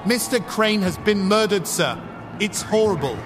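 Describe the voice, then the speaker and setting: A man plays a formal, deferential male butler, badly shaken, in an audio drama. The voice is high, with noticeable noise behind it. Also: animated, moderate pace. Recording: clear background noise.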